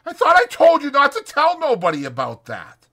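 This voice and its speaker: Guy doing bossy annoyed ranch worker, high sharp scolding voice, for an audiobook. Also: wildly swooping pitch, normal speed, full character voice. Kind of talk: dramatized audiobook.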